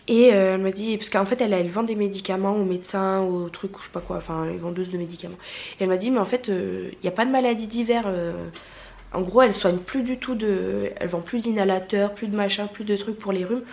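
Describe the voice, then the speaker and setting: A woman's voice: mid-range voice, fairly even intonation, quick pace, darkish tone. A young woman with a stuffy nose from a cold, chatting casually in a vlog, rambling with many fillers and restarts. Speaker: vlogger. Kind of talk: casual vlog chat to camera.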